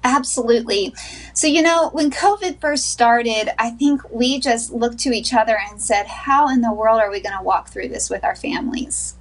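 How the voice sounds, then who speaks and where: A woman in her thirties with a slightly high voice talks as a therapist guest on a morning show. She sounds earnest and upbeat, with small laughs between points. She joins by video call from a small wood-paneled room. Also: low-quality recording. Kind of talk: sponsored TV interview, remote.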